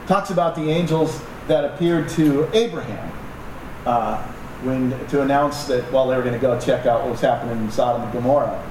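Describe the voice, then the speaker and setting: A man's voice: mid-range voice, normal speed. A man preaching a sermon to a congregation in a conversational, joking way with asides to the audience. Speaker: pastor. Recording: echoey room, distant mic, noisy.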